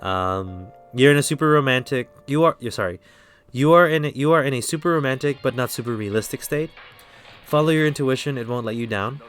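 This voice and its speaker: A man with an American accent giving a relaxed, rambling tarot reading on a live stream, often stopping to correct himself. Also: mid-range voice, fairly quick, faint music behind. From Philippines.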